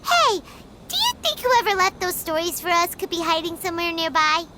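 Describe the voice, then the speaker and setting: A small floating fairy companion with a very high, wildly swooping voice. She is bubbly and refers to herself by name. The game audio carries loud noise beneath her. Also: lively intonation, a little fast. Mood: curious. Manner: bubbly, speaks of herself in third person. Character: tiny floating female fairy companion, chirpy and excitable. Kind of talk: video game voice acting.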